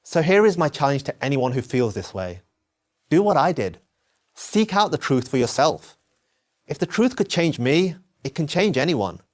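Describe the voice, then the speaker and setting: Earnest man narrating, lively pitch. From England. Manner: earnest, measured, emphatic. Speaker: narrator telling his own story. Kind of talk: scripted first-person narration.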